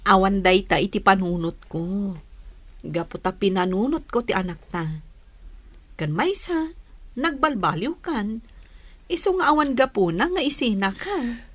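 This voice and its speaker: Forgiving young wife and mother, Ilocano accent, average pitch, measured gentle delivery, radio play. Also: animated. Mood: content, reassuring. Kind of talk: Ilocano radio drama acting.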